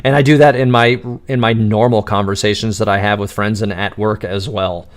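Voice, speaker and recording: A man hosting a live-stream Q&A, answering chat questions in an exuberant, radio-like way and enunciating words crisply despite quick restarts. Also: fairly low pitch, steady tone. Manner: talks fast with frequent restarts and laughs.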